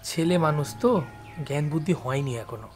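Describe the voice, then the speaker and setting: Woman playing a mild gentleman writer, animated. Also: sits low, normal speed, soft background music, pitched below the performer's own voice. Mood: mildly dismissive. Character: mild, genteel male writer, an educated visitor. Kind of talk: audio story drama acting.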